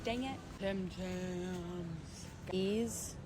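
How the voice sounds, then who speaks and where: A woman's voice: lowish voice, extremely slow, crowd noise. A woman from the United States chatting and giggling while filming a walk through a supermarket. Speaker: vlogger. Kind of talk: casual walk-around vlog.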